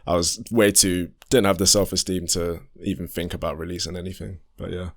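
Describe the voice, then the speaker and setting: A man in his twenties with a London accent and a deep voice, talking in a laid-back, modest and rambling way as a guest musician on a chat show. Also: little pitch movement, a little fast, fairly bright tone. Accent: London English. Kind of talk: casual chat.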